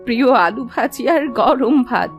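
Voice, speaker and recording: A woman's voice: on the high side, lively intonation, normal speed. A woman voicing a doting old village grandmother in a cartoon, fussing and scolding affectionately in homely speech. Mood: caring. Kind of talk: cartoon voice acting. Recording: music under the voice.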